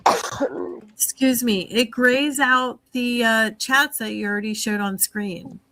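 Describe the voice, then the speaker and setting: A woman's voice: on the high side, steady tone, slow pace, bright, forceful tone, dry recording, low-quality recording. A woman hosting a casual livestream chat while fighting a head cold, rambling and trailing off mid-sentence. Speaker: livestream host.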